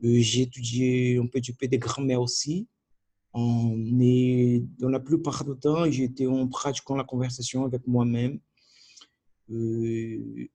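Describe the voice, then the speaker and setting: A man whose first language is Portuguese, speaking French as a learner with a Portuguese accent in a video diary, halting and searching for words with many restarts. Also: mid-range voice, gravelly, dark tone. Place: at home by his computer.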